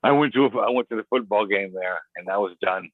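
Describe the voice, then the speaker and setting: A man's voice: fairly low pitch, fast. A man from the United States chatting casually on a live stream, blunt, teasing and grumbling. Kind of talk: casual chat. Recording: dry tinny phone-like line.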